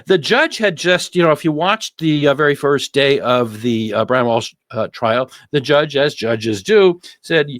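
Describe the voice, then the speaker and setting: A male host talks through a court case in a conversational way. His mid-pitched voice is recorded dry and close, and he leans on repeated phrases to drive points home. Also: animated, unhurried, hardly any pauses, fairly bright tone, no echo. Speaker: host of a legal commentary show. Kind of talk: conversational true-crime trial commentary.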